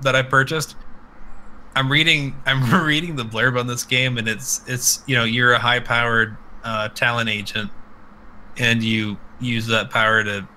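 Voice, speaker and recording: A man with a strikingly bright, forceful, mid-pitched voice co-hosts a casual comedic gaming podcast. He rambles crudely and plays up his reactions. Light noise sits behind the live recording. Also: rough recording. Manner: crude, swearing, rambling with exaggerated quoted reactions. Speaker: podcast co-host. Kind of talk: casual comedic chat about video games.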